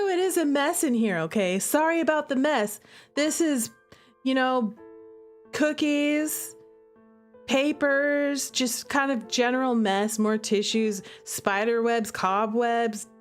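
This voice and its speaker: A woman's voice: sits high, slow pace. A woman streamer chatting casually and playfully through commentary on her own game, stressing her points with little tag questions. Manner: playful, giggly, emphatic; repeats "okay?" and "all right?" as tags. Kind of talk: casual livestream commentary and chat. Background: background music.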